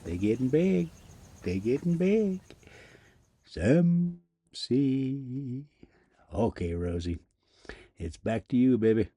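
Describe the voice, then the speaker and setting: A man reading a story aloud casually and haltingly, stumbling over foreign names. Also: mid-range voice, animated, warm tone, breathy edge, dry recording. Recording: noticeable background noise.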